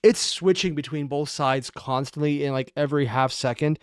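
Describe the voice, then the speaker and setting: A man's voice: a little high, moderate pace, smooth, no echo, studio quality. A man giving casual, off-the-cuff reaction commentary. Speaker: reaction video host.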